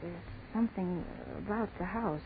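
A woman's voice: fairly low pitch, moderate pace, lightly acted. An actress playing a frightened, insistent young college woman in a 1930s radio horror drama. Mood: frightened, hushed. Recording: scratchy, tinny 1930s radio recording.

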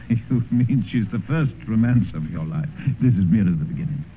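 Male actor as cynical gentleman mentor, deep voice, suave drawl, amused, vintage radio play, narrow muffled sound. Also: animated, normal speed, lightly acted. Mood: smug. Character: worldly, cynical English gentleman, older mentor and corrupter. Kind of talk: 1950s radio drama acting and first-person narration. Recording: muffled, hissy old radio broadcast.

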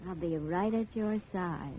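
A woman's voice: on the low side, a little slow, faint music behind, close to an everyday voice. A woman playing a gangster's devoted, wary girlfriend in a melodramatic 1950s radio crime drama. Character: gangster's devoted female companion, loyal and wary. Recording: muffled old radio recording, faint noise.

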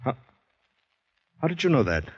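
A man's voice: mid-range voice, very animated, normal speed, slightly rough. A man playing an anxious young soldier recovering from shell shock, hesitant and polite, in 1940s radio drama style. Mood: uneasy. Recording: dull, roomy old radio recording.

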